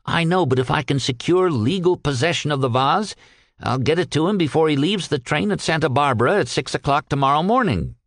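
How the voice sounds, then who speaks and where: A man acts out a weary but determined errand man from a storybook. He speaks earnestly and respectfully, pressing his point with polite insistence. The pitch moves in an animated way. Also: fairly quick, no room sound, clean studio sound. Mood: earnest pleading. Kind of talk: audiobook character dialogue.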